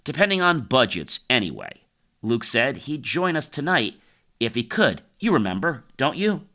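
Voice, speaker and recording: A man's voice: medium pitch, big pitch swings, normal speed, bright, forceful tone, full character voice. A man voicing a friendly, chatty woman office colleague in audiobook dialogue, sociable and breezy.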